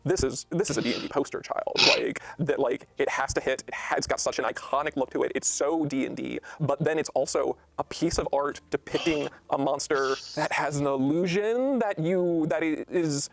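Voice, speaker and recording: A man's voice: a little high, moderate pace, vocal fry, few pauses, background noise. A man explaining a game book as one of its designers, earnest, emphatic and detailed in an interview setting. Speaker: game designer discussing a rulebook.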